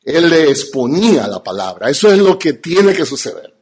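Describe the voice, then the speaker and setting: A man with a Dominican Spanish accent preaching and teaching as a pastor, emphatic, with rhetorical questions and deliberate pauses. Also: fairly high pitch, sing-song, a little mellow, slightly rough. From Dominican Republic. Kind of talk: teaching sermon to an audience.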